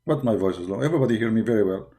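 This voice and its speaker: A man's voice: fairly low pitch, expressive, very fast, warm tone. A male host commenting on a played video. He mocks the speaker with sarcasm and talks directly to his viewers. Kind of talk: casual reaction commentary on a livestream.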